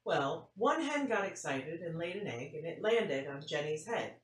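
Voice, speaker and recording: A woman's voice: low-pitched, very animated, a little slow. A woman voicing a nonchalant, matter-of-fact schoolgirl telling her tale in a picture-book read-aloud. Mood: matter-of-fact, animated. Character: nonchalant schoolgirl recounting an unbelievable farm trip. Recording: roomy, faint noise, distant mic.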